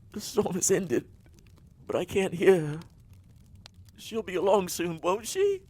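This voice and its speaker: A maddened, grief-torn character in horror audio drama. His voice is raised high and moves slowly in anguished, broken fragments with lively swoops. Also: heavily acted. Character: distraught, maddened man pleading over lost loved one.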